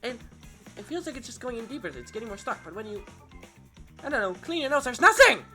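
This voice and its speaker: A young man from Germany with a stuffed nose, rambling excitably through casual gameplay commentary. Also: extremely high pitch, quick pace. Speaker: gaming video creator. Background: game music.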